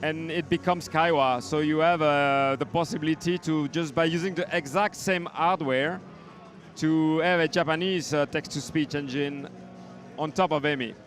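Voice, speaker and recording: A man giving an informal product demonstration as a synth maker, explaining in a halting way. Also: on the high side, a bit bright. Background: electronic synth sounds and beats.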